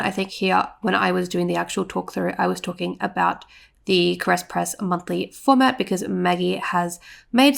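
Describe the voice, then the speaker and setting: A woman's voice: on the low side, vocal fry, fairly bright tone. A young adult woman, sounding congested and sniffly, gives a chatty, rambling voiceover for her planner vlog. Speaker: planner vlogger. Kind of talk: casual voiceover narration.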